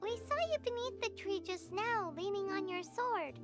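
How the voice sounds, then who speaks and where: A woman voicing a small, chirpy, curious fairy companion with a squeaky childlike voice in an anime video game. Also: normal speed, over loud music. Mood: concerned, curious. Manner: refers to self in third person, exclaiming.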